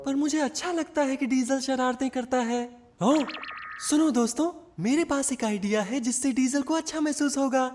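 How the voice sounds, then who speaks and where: Cartoon dub: woman playing friendly young train, high pitched, forgiving nature, light music behind. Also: normal speed, natural voice. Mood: friendly, thoughtful. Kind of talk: Hindi-dubbed children's cartoon acting.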